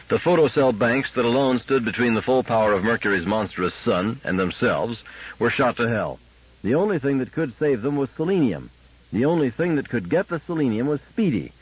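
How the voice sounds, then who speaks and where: A male audiobook narrator delivers dramatic scripted fiction in a lowish, husky voice. His pitch moves widely as he tells the story. The recording sounds somewhat dull.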